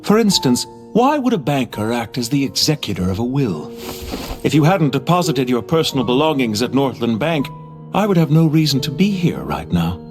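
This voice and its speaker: A man's voice: mid-range voice, sing-song, moderate pace, faint music behind, natural voice. A man voicing a cool, cynical, exacting banker in a video game, with formal and precise speech. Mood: dry, exacting.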